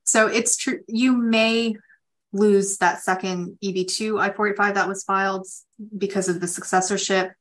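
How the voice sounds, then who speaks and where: A woman attorney hosting a conference call, explaining legal points in measured, pausing phrases. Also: mid-range voice, fairly even intonation, a bit bright.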